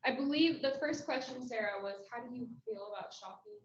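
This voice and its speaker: A woman answering a meeting question in a thoughtful, measured way. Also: on the high side. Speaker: club member. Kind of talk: answering a table topic at a meeting. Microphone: video call mic. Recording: echoey, dull, faint noise.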